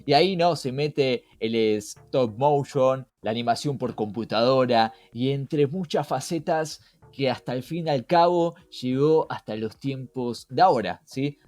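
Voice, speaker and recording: A man's voice: mid-range voice, unhurried, darkish tone, no echo. A man with an Argentine accent hosting a podcast in a conversational style, engaging the listener with rhetorical questions. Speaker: podcast host. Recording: music behind the voice.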